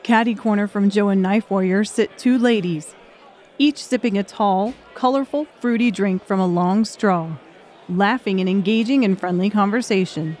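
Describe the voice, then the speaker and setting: A woman's voice: medium pitch, moderate pace, a little mellow, a faint crowd. A woman narrator reading scripted audiobook narration.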